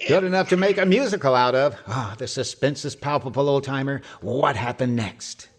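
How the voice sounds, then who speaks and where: A man's voice: mid-range voice, normal speed, close to an everyday voice. A man playing a blustery, boastful husband who spins tall tales in old-time radio comedy style. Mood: chatty, teasing. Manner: blustery, wisecracking, alliterative patter.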